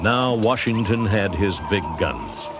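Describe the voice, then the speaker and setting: A man's voice: lowish voice, very animated, slow, loud music behind the voice. An American man narrating a scripted history documentary.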